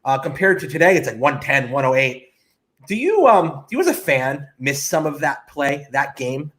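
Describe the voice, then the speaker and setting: Male sports podcast interviewer, somewhat higher register, casual conversational delivery with frequent check-ins. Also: very animated, normal speed, fairly bright tone. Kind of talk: casual sports chat, posing questions.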